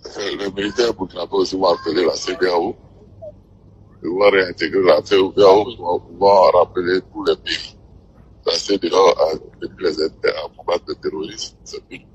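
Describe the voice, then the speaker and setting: A man with a West African French accent talking informally on a phone call, halting and often repeating himself. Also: low-pitched, steady tone, a little hiss or hum. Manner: halting, repetitive phrasing. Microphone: telephone.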